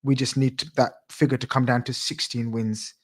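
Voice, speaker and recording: A man's voice: medium pitch, little pitch movement, normal speed. A young man hosting a casual live football chat show, upbeat, repeating phrases and reading out viewer comments. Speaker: show host.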